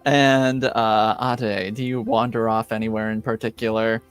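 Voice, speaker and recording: A man's voice: fairly low pitch, level tone, a little slow, clean tone, faint music behind. A man improvising narration as game master of a tabletop roleplaying game in an informal, hesitant way.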